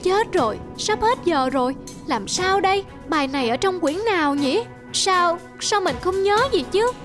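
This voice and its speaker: High-voiced proud young schoolboy. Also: female voice, animated, normal speed. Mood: anxious. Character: proud, eager young schoolboy, official's son. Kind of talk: animated film dialogue. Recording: music behind.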